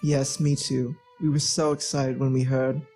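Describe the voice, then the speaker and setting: A low voice from a woman as a cheeky young country man in a nostalgic radio play. The delivery is droll and matter-of-fact. Light music sits underneath. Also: level tone, a little slow, dark tone, husky, heavily acted. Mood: confident.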